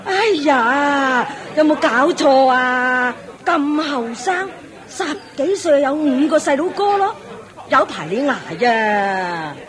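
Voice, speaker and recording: Brief: an older Hong Kong Cantonese widow, brash, nosy, sighing and bossy, radio drama, busy crowd behind. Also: female voice, sits high, expressive, slow pace, a bit bright, exaggerated voice. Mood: nosy, pitying. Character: chatty brash older widow queuing for alms. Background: crowd of people.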